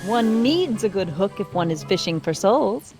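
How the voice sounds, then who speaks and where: A woman's voice: medium pitch, expressive, normal speed, a little mellow, soft background music, close to an everyday voice. An actress playing a prim, zealous young woman reporter in a video game, wordy and theatrically righteous. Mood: proud, earnest. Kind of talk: video game character acting, period melodrama.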